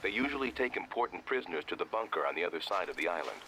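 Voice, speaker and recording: An actor playing a calm, secretive undercover contact who gives businesslike mission instructions in a video game. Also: male voice, low voice, very fast, very noisy. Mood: guarded. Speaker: mission guide. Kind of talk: video game cutscene acting.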